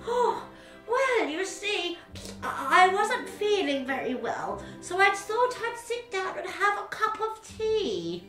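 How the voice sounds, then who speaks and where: A woman's voice: very high-pitched, sing-song, far from the mic, full character voice. A woman playing a kindly, doddery old granny in children's storytelling theatre, chatty and hesitant, rambling along. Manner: hesitant, slow, rambling, oh-dear exclamations. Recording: echoey room with background music.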